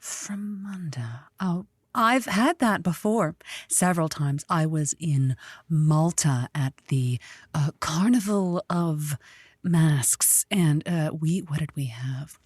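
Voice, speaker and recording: An actress playing a haughty, flirtatious radio host who puts on glamorous sophistication, with an airy, superior manner in a comedic audio drama. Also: female voice, sits low, animated, slow pace, a little mellow, breathy edge, dry recording, studio quality, full character voice. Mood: pretentious, musing.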